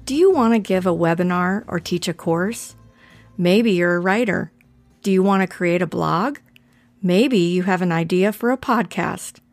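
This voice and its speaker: A woman's voice: on the low side, normal speed, dry recording. A woman reading a scripted promotional spot in an upbeat sales tone. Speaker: ad announcer. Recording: dry with faint music behind.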